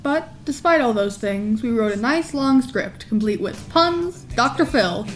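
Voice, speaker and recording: A woman's voice: fairly high pitch, expressive, unhurried, rough recording. A woman reading a scripted introduction. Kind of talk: scripted narration. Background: music.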